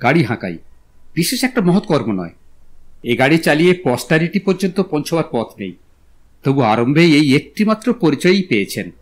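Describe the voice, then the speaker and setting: An actor playing a courteous, witty young gentleman in an audio drama, speaking in polite, florid literary phrases. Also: a little high, sing-song, moderate pace. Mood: gallant, pleading. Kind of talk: Bengali audio drama acting.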